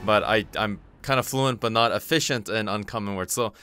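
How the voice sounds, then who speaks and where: A man whose first language is Korean, hosting his channel and commentating his own online fighting-game matches, excitable and swearing freely as he talks to himself. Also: lowish voice, a little fast, clear, resonant tone, no room sound. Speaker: gaming channel host. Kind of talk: live gameplay commentary.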